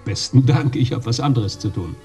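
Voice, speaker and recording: A smug private secretary played by a man in a radio play. The voice is dark and mellow at an average pitch, with a curt, businesslike delivery. Faint music runs behind it. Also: brisk, lightly acted. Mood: brisk, smug. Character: brisk, smug male private secretary to a boss. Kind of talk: radio drama dialogue.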